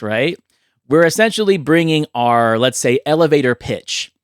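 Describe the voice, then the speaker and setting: A man's voice: mid-range voice, dry recording. Chatty man hosting a podcast, dry close sound. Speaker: podcast host. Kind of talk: casual podcast conversation.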